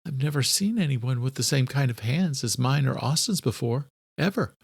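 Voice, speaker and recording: A man's voice: medium pitch, lively intonation, normal speed, gravelly, husky, no room sound, clean studio sound, voice pushed up. A man voicing an earnest, polite, anxious woman doctor in an audio thriller. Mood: excited.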